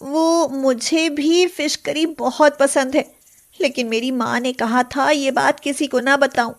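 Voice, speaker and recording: A woman's voice: sits high, fairly even intonation, slow. A woman playing a young, sly yet sweetly deferential daughter-in-law in an acted moral story. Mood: pleading.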